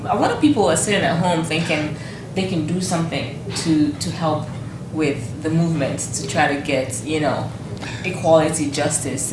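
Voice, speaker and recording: A woman hosting a TV interview in a conversational way, rephrasing her questions as she goes and offering soft affirmations. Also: deep voice, background noise. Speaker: TV interview host.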